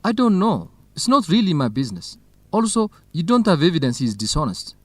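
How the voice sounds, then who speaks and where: An actor playing a frank, easygoing young university researcher in a radio drama, conversational. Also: male voice, a little high, very animated, fairly quick, no room sound. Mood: candid.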